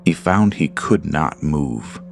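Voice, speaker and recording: Super low gravelly guy narrating a scary story, slow and deliberate. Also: expressive, slight background noise. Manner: slow, deliberate, ominous delivery. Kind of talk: scripted horror narration.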